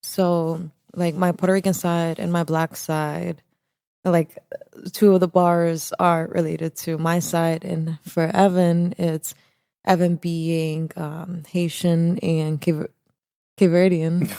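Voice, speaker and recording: Young woman guest, casual and animated, low voice, dry close sound. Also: takes it slowly, vocal fry, smooth, no room sound. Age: young adult. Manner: animated, laughs often, restarts phrases. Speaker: guest, business co-owner. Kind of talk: casual interview answers.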